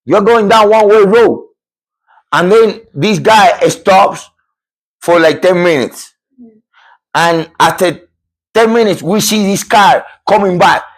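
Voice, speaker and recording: A middle-aged man from Cuba tells a scary true story on his podcast, his voice sitting a little high for a man. He talks with emphatic energy, often repeating himself and restarting sentences as he builds his point. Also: takes it slowly, pauses often. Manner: animated, repetitive, emphatic with restarts. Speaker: podcast host.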